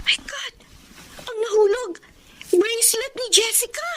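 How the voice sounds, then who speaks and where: Casting: earnest young Filipino daughter, high voice, polite manner, radio drama. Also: female voice, a little slow, crowd noise. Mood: shocked. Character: earnest, respectful young daughter worried for her friend.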